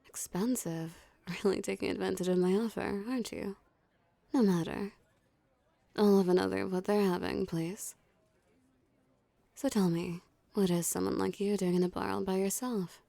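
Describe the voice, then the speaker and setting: A woman's voice: mid-range voice, fairly even intonation, warm tone, no echo, close to an everyday voice. A woman playing a dominant, teasing mafia boss in an ASMR roleplay, speaking with cool, condescending flirtation. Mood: cool, flirtatious. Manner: smooth menacing flirtation, condescending, unhurried. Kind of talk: ASMR roleplay, scripted character acting.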